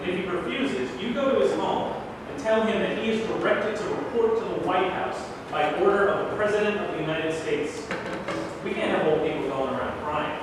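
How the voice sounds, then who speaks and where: A man's voice: high-pitched, moderate pace, close to an everyday voice. A man acting a decisive, grumbling, plain-spoken American president in a staged play reading. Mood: firm, commanding. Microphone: far camera microphone. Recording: echoey hall, distant camera, noisy.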